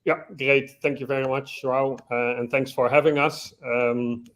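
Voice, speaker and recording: Dutch guy on a laptop call, slight accent, normal pitch, friendly. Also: level tone, unhurried, fairly bright tone. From Netherlands. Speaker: guest. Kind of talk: self-introduction on a video call. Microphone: laptop on a video call.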